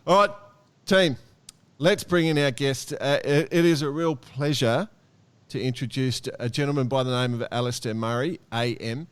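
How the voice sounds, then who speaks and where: Aussie guy hosting a podcast with his mates, really clear smooth voice, easygoing and jokey. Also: medium pitch, moderate pace. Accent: Australian. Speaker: podcast host. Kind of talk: relaxed, jovial sailing podcast hosting.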